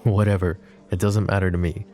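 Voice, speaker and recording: A man voicing a possessive, flirtatious mafia boss in an ASMR roleplay drama, with a low, soft, teasing drawl. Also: little pitch movement, fairly quick, soft background music, natural voice. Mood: coaxing. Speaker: voice actor.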